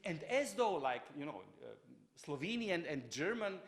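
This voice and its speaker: A fairly high-voiced man lectures in a lively, rising and falling tone. He stumbles through many fillers and restarts. His voice carries a long reverb from a large lecture hall. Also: moderate pace. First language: Croatian. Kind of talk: public lecture.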